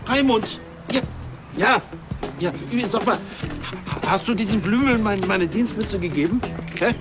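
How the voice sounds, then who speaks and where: An actor playing a self-important, indignant former police councillor who stammers in a TV crime comedy. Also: male voice, high voice, moderate pace, over music, natural voice. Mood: agitated.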